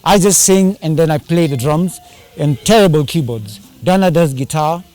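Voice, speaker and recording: Guy chatting away casually, kinda high voice, rambles, bits of swahili slang, music on behind him. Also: normal speed, a little mellow. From Kenya. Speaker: band singer and drummer. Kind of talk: casual interview chat.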